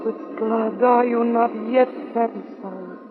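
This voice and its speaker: A woman playing an ancient, beautiful tree spirit in an old-time radio drama, soft, warm and imploring. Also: on the high side, steady tone. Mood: seductive, reproachful. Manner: slow, imploring, theatrical. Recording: dull vintage radio recording, music underneath.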